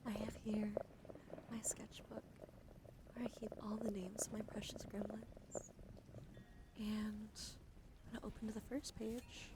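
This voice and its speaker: A woman streamer speaking softly and soothingly in an ASMR personal-attention style, often slipping into a whisper. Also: medium pitch, little pitch movement, a little slow, pauses often. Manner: soft-spoken, often drops into whispering; gentle, soothing, coaxing. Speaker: live streamer host. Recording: noisy live stream with clear background noise. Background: background noise, dog outside.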